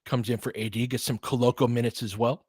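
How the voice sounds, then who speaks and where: A man calling basketball play-by-play with quick, excitable calls and chatty asides, on a close mic at home. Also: medium pitch, husky, no echo. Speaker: play-by-play commentator. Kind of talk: fan-made basketball play-by-play commentary.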